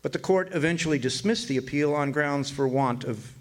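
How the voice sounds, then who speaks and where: An actor playing a weary older drifter and war veteran, narrating a radio drama in a folksy, hesitant, reflective way. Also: male voice, fairly high pitch, brisk, natural voice. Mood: reflective, matter-of-fact.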